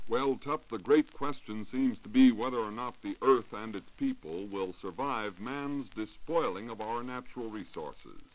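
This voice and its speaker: A man's voice: medium pitch, expressive, unhurried, few pauses, poor audio. An American man giving an earnest, scripted science report in 1940s radio style. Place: radio studio. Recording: hissy 1940s radio recording.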